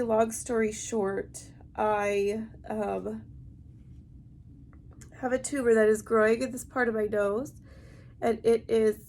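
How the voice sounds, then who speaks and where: A woman's voice: on the high side, a little mellow. Heavily congested, nasal-voiced woman vlogger. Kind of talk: personal vlog, talking to camera. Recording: amateur recording with light background noise.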